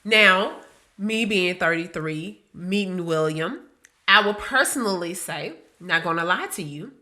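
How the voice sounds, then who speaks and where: A woman's voice: on the low side, lively intonation, measured pace, sharp tone. A woman in her thirties co-hosting a podcast, chatting casually in a blunt, profane way that often breaks into laughs. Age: 30s. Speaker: podcast co-host. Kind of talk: casual chat.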